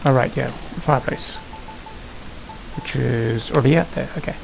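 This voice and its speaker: A gamer giving casual gameplay commentary, muttering and thinking aloud to themselves in a gravelly voice. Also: medium pitch, normal speed, soft background music. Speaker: gamer hosting a gameplay series. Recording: hissy, noisy home recording.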